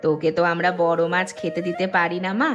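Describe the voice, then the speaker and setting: A woman voicing a stubborn but fond village mother with an offhand, grumbling manner in an animated story. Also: lowish voice, quick pace, highs cut off, over music, lightly acted.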